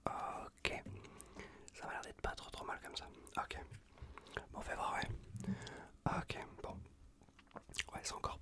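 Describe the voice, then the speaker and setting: A man with a French accent from France whispers a casual, reassuring ASMR roleplay as a caring survivor tending a wounded stranger, breathy and close to the microphone. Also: slow pace, long pauses. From Bordeaux region, France. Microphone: close Blue Yeti microphone.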